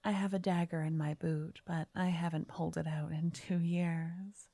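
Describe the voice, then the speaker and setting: A woman playing a gentle, flirty fortune teller in an ASMR roleplay, speaking softly in a breathy half-whisper, coaxing and soothing the listener up close. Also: fairly low pitch, flat delivery, moderate pace, dry recording. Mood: warm, intrigued. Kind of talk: ASMR roleplay, intimate scripted address to listener. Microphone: close, dry microphone.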